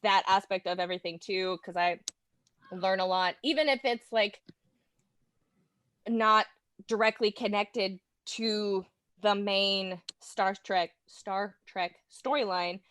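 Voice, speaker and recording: A woman chatting casually in a giggly, excitable and self-deprecating way, as a fan guest on a talk show. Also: mid-range voice, takes it slowly, smooth, no echo, studio quality. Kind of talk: casual chat.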